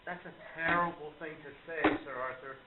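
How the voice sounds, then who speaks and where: A man's voice: on the high side, steady tone, brisk, heavy background noise, lightly acted. An actor playing a brash, stubborn younger showman in a radio play, declaiming with insistent zeal. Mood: offended. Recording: roomy, muffled amateur recording.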